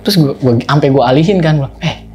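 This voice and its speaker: A man with a Betawi accent telling a story as a podcast guest, lively and slangy, acting out quotes. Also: fairly high pitch, fast talker, soft background music. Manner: animated, slangy, acts out quotes, laughs often. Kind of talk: casual spoken storytelling.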